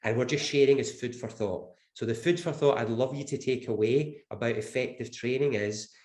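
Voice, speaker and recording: A Scottish man presenting on a webinar while he has the flu, speaking in a mid-pitched voice. He talks earnestly and leans on his main points, repeating them for emphasis. His voice carries a roomy echo. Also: moderate pace, darkish tone. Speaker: webinar panelist.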